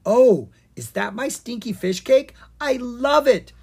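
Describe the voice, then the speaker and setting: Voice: medium pitch, very animated, normal speed, a little mellow, husky, pitched above the performer's own voice. A narrator voicing a bubbly, self-absorbed female pixie who gushes and squeals in a children's storybook. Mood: delighted. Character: gushing, self-absorbed little girl pixie.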